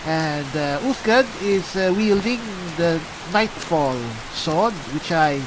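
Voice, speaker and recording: A man playing a chatty, pompous adventuring cleric in an improvised fantasy game roleplay, halting and musing theatrically with dry humour. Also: on the high side, lively intonation, noisy background. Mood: musing, amused. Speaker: gamer playing a character.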